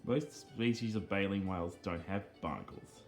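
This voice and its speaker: A man giving rambling, casual commentary on video game cutscenes, speaking haltingly with repeated phrases and earnestly explaining small details. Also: low voice. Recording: game music behind the voice.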